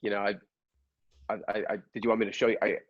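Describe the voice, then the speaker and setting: A man playing a sheepish, petulant inspector in an improvised comedy scene, halting and stammering defensively. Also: lowish voice, little pitch movement, dull audio, lightly acted. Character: sheepish, petulant inspector who lives with his mom.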